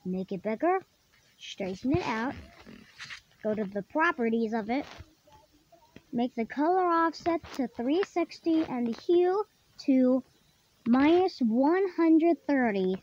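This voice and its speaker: A very high-pitched voice hosts a casual software tutorial at a slow pace. The delivery is halting, with phrases often repeated and reworded. The recording is dull and lo-fi. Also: lively intonation. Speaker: tutorial host. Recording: dull lo-fi microphone, clearly noisy.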